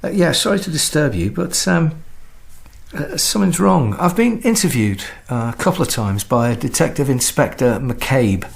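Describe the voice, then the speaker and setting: An actor playing an anxious, short-tempered husband in a naturalistic radio drama, speaking in an agitated, hesitant way. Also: male voice, a little high, very animated, normal speed, vocal fry. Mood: uneasy, hesitant.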